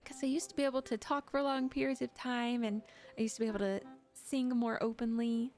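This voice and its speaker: A woman's voice: on the high side, little pitch movement, a little fast, a little mellow, soft background music. Giggly young woman chatting on stream. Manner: giggly, chatty, talks to chat. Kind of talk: casual livestream chat.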